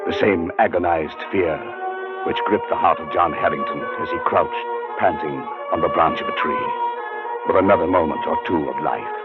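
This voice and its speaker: An actor playing a haunted, nervous scholarly gentleman, narrating in a brooding, suspenseful 1940s radio drama style. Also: a bass voice, moderate pace. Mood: dread, suspense. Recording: muffled 1940s radio recording with music.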